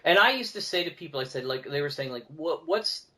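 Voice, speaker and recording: A man's voice: fairly high pitch, animated, a little fast, sharp tone. A man talking casually as a guest on a podcast, speaking emphatically and repeating key words for stress.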